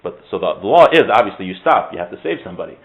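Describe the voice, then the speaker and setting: A man's voice: low-pitched, very animated, very fast, rough recording. A man teaching an informal religious class, making his points with emphatic repetition and rhetorical questions to his students.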